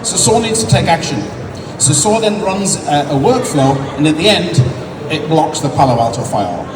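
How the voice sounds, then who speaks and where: An English man presenting at a tech conference, explaining in a casual way and often restarting his sentences. His voice sits a little high. He is picked up from a distance in a large reverberant hall, with loud crowd ambience behind him. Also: animated, moderate pace, noisy background. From England. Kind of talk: live tech demo talk. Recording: echoey hall recorded from far off with loud ambience.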